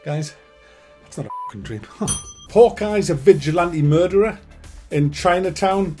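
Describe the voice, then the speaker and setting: A man's voice: a little high, animated, unhurried, darkish tone, soft background music. A man giving a ranting film review, sarcastic and full of swearing and stammered restarts.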